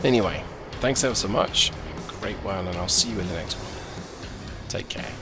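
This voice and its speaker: A man giving casual gameplay commentary, thinking aloud with restarts, his voice slightly croaky. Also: deep voice, moderate pace. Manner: relaxed, thinking aloud, frequent ums and restarts. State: slightly croaky from lack of fluids. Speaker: gaming video host. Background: loud music and truck game sounds.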